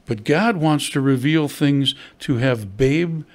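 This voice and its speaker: A man's voice: medium pitch, slow, a little mellow. An older man, a pastor, preaching a sermon at a church pulpit, conversational and asking his listeners questions. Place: church hall at a wooden pulpit. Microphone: stand mic at the pulpit.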